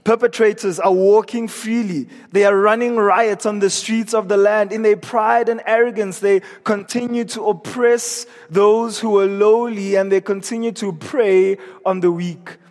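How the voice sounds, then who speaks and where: A man's voice: high voice, steady tone, unhurried, hardly any pauses, a little mellow. A man preaching an earnest evening sermon in a church, his voice scratchy and strained. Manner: earnest, repeats words when he restarts. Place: church hall. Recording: long reverb of a church hall.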